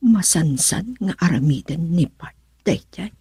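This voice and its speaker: A woman's voice: fairly low pitch, lively intonation, measured pace, warm tone, husky, no echo, studio quality, full character voice. An actress playing a worried, suspicious woman brooding aloud in an Ilocano radio drama monologue. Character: worried suspicious woman brooding over her absent partner.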